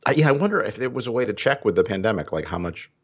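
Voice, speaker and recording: A man co-hosting a podcast, unhurried and thinking aloud in casual chat. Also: lowish voice, lively intonation, breathy edge. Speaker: podcast co-host, university academic. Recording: dull lo-fi sound.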